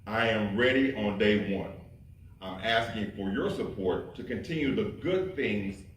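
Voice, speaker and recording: A man's voice: on the low side, unhurried. A man running for city council who gives a confident opening statement, listing his credentials at a public forum. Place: community hall. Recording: echoey hall mic from a distance, light noise.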